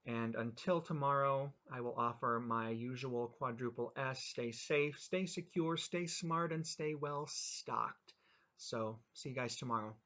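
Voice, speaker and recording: A man's voice: medium pitch, measured pace, pushed and bright. A man in his forties hosting a reading of his own short story, chatting casually with wry, self-mocking asides. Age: 40s.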